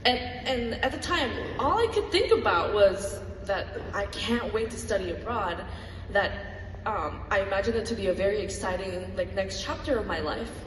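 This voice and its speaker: A woman's voice: medium pitch, lively intonation, normal speed, a little hiss or hum. Brief: woman in her twenties, earnest student talk, distant mic, roomy reverberant hall. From Malaysia. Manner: earnest, reflective, with light laughs. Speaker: student speaker. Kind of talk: personal talk to an audience. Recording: echoey hall from far off.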